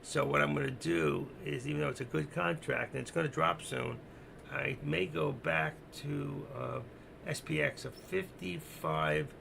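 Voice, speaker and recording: A man with a slightly high but very gravelly, creaky voice gives live, off-the-cuff trading commentary. He sounds hoarse, as if his voice is wearing out. He talks through his thinking and instructs viewers repetitively, with faint noise in the background. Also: unhurried. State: voice giving out, hoarse. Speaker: live stock-trading streamer.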